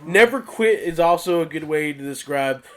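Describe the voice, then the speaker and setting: A man in his thirties co-hosting a comedy podcast, excitable and laughing through crude banter. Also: fairly high pitch, normal speed, a little hiss or hum. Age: 30s. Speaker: podcast co-host.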